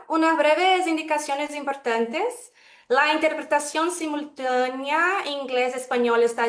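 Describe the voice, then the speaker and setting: A woman from Brazil, speaking as a second language with a Brazilian Portuguese accent, moderating a webinar in a measured, careful way. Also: sits high, fairly bright tone, echoey room. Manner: measured, careful, self-correcting. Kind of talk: formal opening remarks. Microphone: video call.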